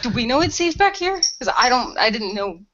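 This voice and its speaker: A woman with a somewhat high voice improvises lines in a role play. She sounds casual and offhand, with a sarcastic edge. The recording is a little muffled. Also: big pitch swings, a little fast, a bit bright. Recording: dull lo-fi audio.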